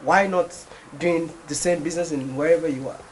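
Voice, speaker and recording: A Ghanaian man with a fairly high voice hosts a casual interview. He sounds informal and eager, with some ambient noise around him. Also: a little fast, rough recording. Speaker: interviewer, video host.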